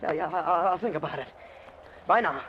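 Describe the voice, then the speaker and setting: An actor playing a jittery stranded husband putting on bravado, stammering and excitable, in an old-time radio drama. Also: male voice, a little high, brisk. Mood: uneasy, dismissive. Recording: muffled, noisy old radio recording.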